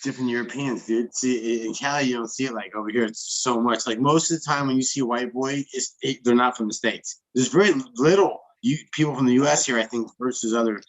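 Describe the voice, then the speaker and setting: A man's voice: mid-range voice, fast talker, hardly any pauses, low-quality recording. A laid-back man from California chats on a video call, loose and slangy. His talk comes in easy, offhand bursts full of casual swearing. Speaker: host.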